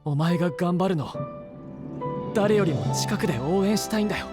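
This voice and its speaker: An actor playing a brash, devoted young knight-to-be who talks bluntly and casually in an anime-style voice drama. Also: male voice, sits high, lively intonation, normal speed, music in the background. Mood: earnest devotion. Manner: blunt, casual rough masculine speech. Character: brash, earnest young male knight-to-be, rough-spoken but devoted.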